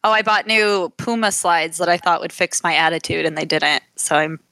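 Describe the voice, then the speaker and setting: A woman co-hosting a podcast on a gaming headset while lying at home, with a wry, deadpan way of talking. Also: on the low side, moderate pace, no room sound. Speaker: podcast co-host. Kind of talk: casual chat. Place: lying in a corner of her home.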